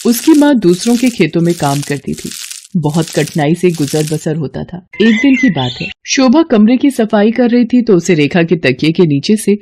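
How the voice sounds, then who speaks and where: A woman's voice: on the low side, big pitch swings, moderate pace, a little mellow, slight background noise. A woman reading a scripted, dramatic story as its narrator.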